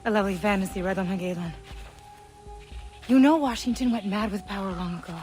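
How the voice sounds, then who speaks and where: A woman's voice: mid-range voice, fairly quick, darkish tone, lightly acted. Solemn, resolute mother played by an actress, over music. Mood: grave. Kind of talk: dramatic video game acting.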